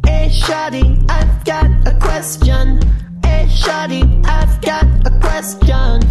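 A woman delivers a playful sung clip in a high, wildly swooping voice. She takes it slowly, with prominent music under her. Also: wildly swooping pitch.

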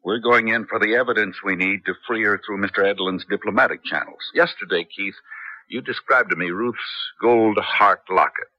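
An actor playing a shrewd, determined American man planning a risky rescue, measured and earnest, in a 1970s radio drama. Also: lowish voice, sharp tone, no echo. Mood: briefing. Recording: dull vintage radio broadcast.

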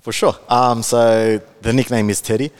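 A man's voice: mid-range voice, fairly even intonation, normal speed, clear, resonant tone. A man in his twenties with an Australian accent, chatting casually as a podcast guest, laid-back and full of fillers. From Melbourne, Australia. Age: 20s. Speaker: guest, content creator.

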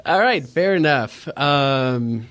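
A young man with a high, squeaky voice co-hosting a casual fantasy sports podcast, excitable and jokey. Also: slow. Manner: excitable, cheers at the game, jokey. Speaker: podcast co-host.

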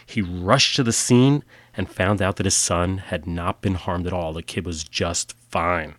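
A man's voice: deep voice, unhurried, a bit bright, no echo. A man hosting a podcast, reading odd true stories in a plain, matter-of-fact way, a little nasal from a cold. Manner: plain matter-of-fact delivery with filler uhs in sign-off. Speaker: podcast host. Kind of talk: scripted storytelling narration.

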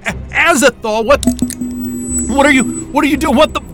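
Man acting a distressed, high-voiced male scientist. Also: normal speed, over music. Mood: confused, angry. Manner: gasping, stammering, profane. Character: distressed, foul-mouthed male astrophysicist, angry and bewildered. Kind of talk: science-fiction audio drama acting.

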